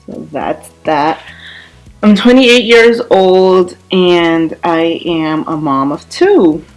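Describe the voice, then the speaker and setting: A woman's voice: on the low side, drawn-out words, faint music behind, low-quality recording. A woman in her late twenties vlogging casually, rambling and repeating herself with lots of fillers. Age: 20s. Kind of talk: casual chat while doing her hair.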